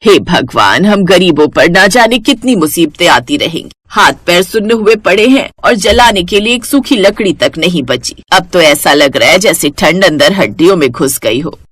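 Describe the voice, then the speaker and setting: A woman's voice: on the low side, expressive, normal speed, few pauses, fairly bright tone, husky, no echo, pitched below the performer's own voice. A woman voicing a poor, caring elderly village mother-in-law who speaks with lamenting emphasis.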